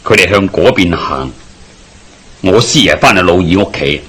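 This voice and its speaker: A man playing an earnest, eager avenger in a Hong Kong Cantonese radio drama, speaking insistently with a husky voice. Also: low-pitched, animated, moderate pace, a bit bright, a little hiss or hum. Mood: watchful, urgent. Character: earnest, eager adult avenger of a dead father.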